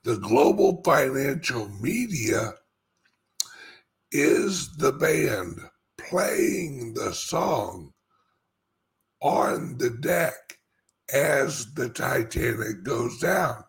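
Male crypto commentator, raspy and gravelly, very slow and emphatic delivery, informal solo address. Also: mid-range voice, very animated. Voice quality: speech impediment. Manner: emphatic, repeats phrases with dramatic pauses. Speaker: cryptocurrency commentator and show host. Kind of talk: informal monologue commentary to followers.

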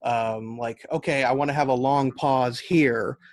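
An American man is being interviewed and explains his work in a conversational way. His voice sits at a middling pitch with very little room sound. He thinks his points through aloud as he goes. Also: steady tone, measured pace, no room sound. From United States. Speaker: podcast guest, novelist and audio drama writer.

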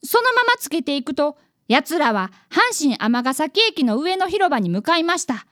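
A very high, lively boy's voice acted by a woman, close and dry. He is an earnest young apprentice detective in a radio drama, polite and dramatic in the way he tells things. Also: unhurried, no room sound, heavily acted. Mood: anxious narrating. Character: earnest excitable eleven-year-old boy apprentice detective. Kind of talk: radio drama narration and dialogue.

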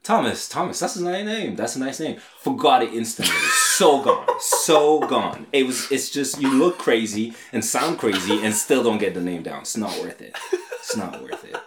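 A young man with a French accent and a deep, smooth voice, chatting casually as a podcast guest. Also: lively intonation, normal speed. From France. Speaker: podcast guest, actor and comedian. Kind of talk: casual chat. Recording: echoey room with faint noise.

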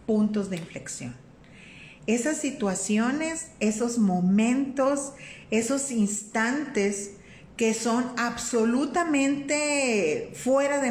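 A Mexican woman hosts a faith-based live stream and talks to her viewers in a warm, conversational way. She greets people by name and keeps checking in with them. Her voice bounces around a roomy space, with faint room noise underneath. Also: a little high. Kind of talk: casual live-stream talk on faith and life.

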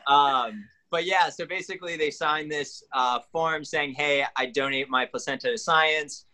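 A man's voice: on the high side, unhurried, bright, forceful tone, rough recording. A man in his twenties, a podcast guest, explaining things in a rambling way full of fillers. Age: 20s. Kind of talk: casual chat.

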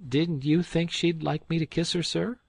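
A man voicing a cheeky, smooth-talking teenage boy who acts overly polite and innocent. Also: a little high, fairly even intonation, moderate pace, dry recording, pitched above the performer's own voice. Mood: sheepish.

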